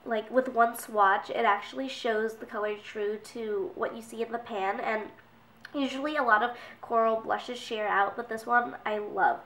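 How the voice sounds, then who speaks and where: A woman's voice: fairly high pitch, steady tone, moderate pace, fairly bright tone, far from the mic. Congested young woman chatting, roomy. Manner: chatty, rambling with many fillers. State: congested from a cold. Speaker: beauty vlogger. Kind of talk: casual talk to camera. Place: small bedroom indoors. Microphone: camera mic at a distance. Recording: roomy, from off the mic.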